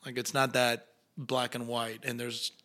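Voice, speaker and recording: A young adult man whose first language is Spanish, speaking English with an Argentine accent. His voice is bright and forward at a middle pitch. He tells stories in a loose, animated way and acts out the voices of the people he quotes. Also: measured pace. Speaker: actor guest. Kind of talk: casual interview storytelling.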